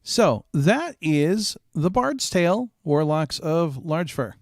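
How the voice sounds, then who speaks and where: A man's voice: a little high, big pitch swings, takes it slowly, dry recording, clean studio sound, soft background music. A man speaking casually as a streamer, reviewing a game and addressing the audience. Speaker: streamer and show host.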